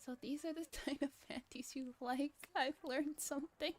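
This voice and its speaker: Woman acting earnest high-voiced android girl. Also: fairly even intonation, moderate pace, lightly acted. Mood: eager. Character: earnest, eager young female android girl companion.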